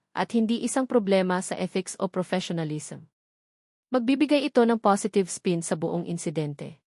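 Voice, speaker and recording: A woman's voice: medium pitch, a little mellow, dry recording, close to an everyday voice. Woman narrator plays businesslike male company chairman, Filipino accent, firm and persuasive, dry audio drama sound. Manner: formal, deliberate, persuasive.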